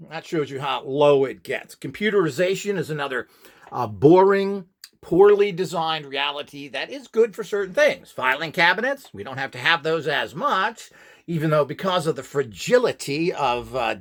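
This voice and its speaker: A man giving an informal, rambling instructional talk as host, in an opinionated, dismissive manner. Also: on the high side.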